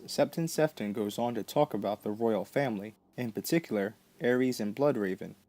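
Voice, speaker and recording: A man's voice: medium pitch, fairly quick, darkish tone. A man hosting a podcast, giving scripted but conversational explanatory commentary. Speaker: podcast host.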